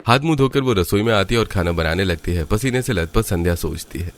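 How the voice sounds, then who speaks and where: A man's voice: low voice, animated, fairly quick, slight background noise. A man narrating a scripted cartoon story. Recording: music behind the voice. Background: background music.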